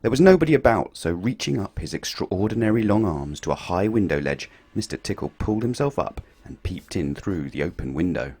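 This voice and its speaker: A man reading a picture book aloud to children, playful and expressive, swinging his voice up and down for effect. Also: a little fast, hardly any pauses, husky. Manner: expressive, playful storytelling with big swings in pitch and loudness.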